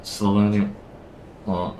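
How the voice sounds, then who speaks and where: A man's voice: fairly low pitch, level tone, very slow, mellow. A man streaming a game, casually reacting and reading lines aloud. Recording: roomy echo, faint background noise.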